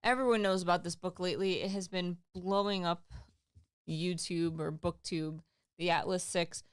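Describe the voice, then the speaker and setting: A woman's voice: lowish voice, fairly even intonation, normal speed, smooth, no room sound, studio quality. A woman co-hosting a book club livestream, chatting casually and reading out viewer comments. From English-speaking country. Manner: chatty, reads out chat comments, frequent fillers. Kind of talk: casual chat.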